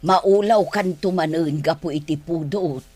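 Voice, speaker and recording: A woman's voice: fairly low pitch, a little slow, dark tone. An actress playing a patient older mother and wife with an Ilocano accent, coaxing and mildly chiding in a radio drama. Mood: concerned. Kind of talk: Philippine radio drama acting.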